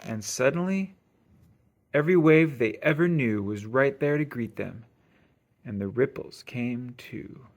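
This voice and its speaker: Male storybook reader, mid-range voice, expressive sing-song delivery for young listeners. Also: medium pitch, a little slow. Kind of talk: expressive children's read-aloud narration.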